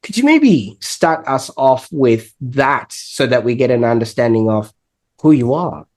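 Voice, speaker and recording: A man's voice: medium pitch, very animated, measured pace, darkish tone. Male podcast host, enthusiastic introduction.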